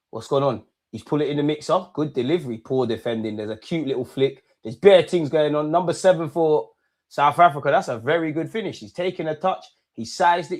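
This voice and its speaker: A young man with a London accent hosting a football watch-along, chatting casually and excitably in slang with his viewers. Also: fairly high pitch. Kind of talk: casual live reaction commentary and chat with viewers.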